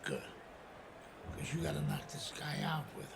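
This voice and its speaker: An intense trainer from a boxing game's story. His voice is creaky and moves freely up and down as he motivates his fighter. Background noise is clearly audible. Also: a little high, wildly swooping pitch, moderate pace, full character voice. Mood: urging.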